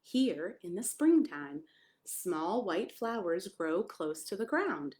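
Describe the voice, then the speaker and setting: American woman with lively, animated storytime delivery. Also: lowish voice, slow. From United States (Maryland). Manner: simple child-directed phrasing, asking listeners questions. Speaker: pastor reading a children's story. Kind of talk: children's storytime read-aloud with chatty asides.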